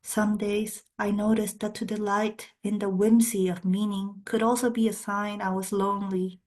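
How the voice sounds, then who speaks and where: A woman poet, a native speaker of Spanish and Korean, reading poems in measured, deliberate short phrases with brief spoken introductions. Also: mid-range voice, little pitch movement, darkish tone.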